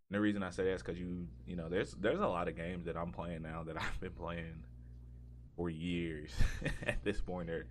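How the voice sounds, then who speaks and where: A man's voice: low-pitched, a little hiss or hum. A man hosting a casual gaming podcast, talking in a rambling way as he thinks aloud. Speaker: podcast host.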